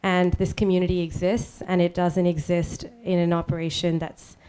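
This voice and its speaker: A woman on a reading panel speaks in a smooth, clear voice that stays level and sits slightly low. She answers thoughtfully, pausing often with soft fillers. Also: level tone. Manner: measured, hesitant, many "um"s. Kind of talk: reflective answer. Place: event hall.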